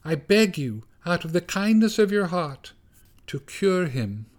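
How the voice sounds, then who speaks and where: A man voicing an anxious, polite little mother field mouse, pleading in a high, wavering voice. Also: sing-song, unhurried.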